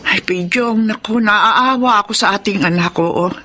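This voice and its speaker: A woman's voice: medium pitch, moderate pace, sharp tone. An actress playing a sympathetic older mother in a radio drama, sighing and pleading in manner. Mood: pitying, distressed. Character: sympathetic, soft-hearted older mother and grandmother.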